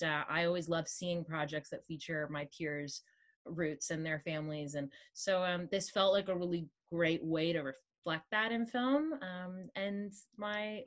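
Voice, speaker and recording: A woman in her thirties from Toronto, an actress talking in a casual interview over a video call, animated and full of fillers. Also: on the low side. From Toronto, Canada. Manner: animated, many fillers and restarts. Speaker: actress and producer, guest. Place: home room, indoors, green wall.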